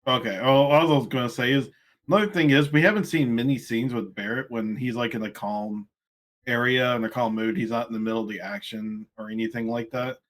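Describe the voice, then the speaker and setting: A man's voice: medium pitch, steady tone, a little fast, fairly bright tone. A man co-hosting a casual group chat, earnest and careful, now and then lightly pushing back. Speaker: podcast co-host.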